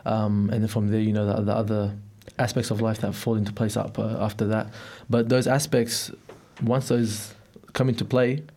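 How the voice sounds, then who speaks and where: A man's voice: lowish voice, little pitch movement, moderate pace, hardly any pauses, warm tone. A young man from Melbourne, answering interview questions in a reflective, hesitant way in a studio. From Melbourne, Australia. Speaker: interview guest.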